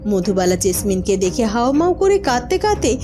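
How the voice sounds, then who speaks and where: A woman's voice: on the high side, big pitch swings, normal speed. A woman reading scripted narration for a fairytale cartoon as the storyteller. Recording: music under the voice.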